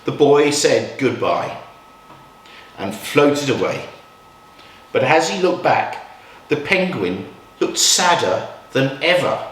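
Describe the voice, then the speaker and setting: Man, children's storyteller, highly varied intonation, dramatic pauses, roomy echo, a little distant from the mic. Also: slight background noise. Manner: measured, expressive, with dramatic pauses. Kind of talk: picture-book storytelling read aloud for children.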